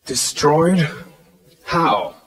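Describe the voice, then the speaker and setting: Grieving, disbelieving gentleman, audio melodrama. Slow, emotional, hugely varied intonation. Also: fairly high pitch, big pitch swings, exaggerated voice, low-quality recording. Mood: stricken disbelief. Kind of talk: dramatized audio play acting.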